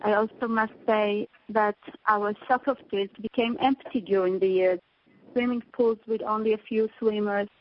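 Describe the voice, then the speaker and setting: A woman, a teacher and mother, giving an earnest prepared speech to donors and pausing on fillers. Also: mid-range voice, steady tone, dull audio, a little hiss or hum.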